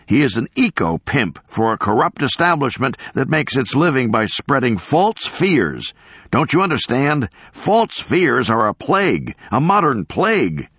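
A man's voice: on the low side, big pitch swings, moderate pace, husky, no echo, clean studio sound, pitched above the performer's own voice. A man voicing an indignant elderly male professor in an audiobook, pompous and emphatic, declaiming his grievances. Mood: ranting, furious. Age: older.

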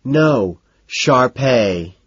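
A man's voice: fairly low pitch, animated, normal speed, breathy edge, no echo, dull audio. A man voicing a pudgy, effete dog owner in his late fifties, flirtatious and fussy, with a lisp. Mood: coy correcting. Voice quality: lisping. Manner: fussy, coy, gushing.

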